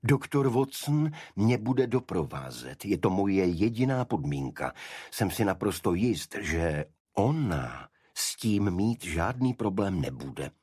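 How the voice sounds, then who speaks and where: A man voicing a composed, dryly confident gentleman detective in an audiobook, polite but deliberately insistent. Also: low-pitched, very animated, slightly rough, no room sound, clean studio sound, heavily acted. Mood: firm insistence.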